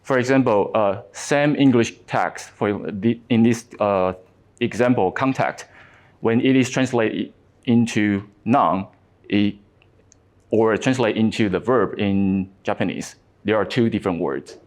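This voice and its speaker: A man in his thirties speaks English with a Taiwanese accent, his first language being Mandarin. His voice sits fairly low. He presents at a conference in a halting way, full of fillers and restarts.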